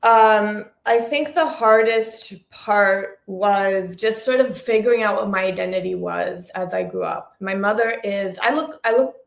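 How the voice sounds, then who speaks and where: A woman's voice: mid-range voice, measured pace, gravelly, few pauses. A woman with an American accent speaking reflectively and casually as an interview guest, often restarting and rephrasing her thoughts. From Sri Lanka, United States (Massachusetts) and France. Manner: reflective, self-correcting, stop-and-start. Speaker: interview guest, producer and journalist. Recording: roomy echo.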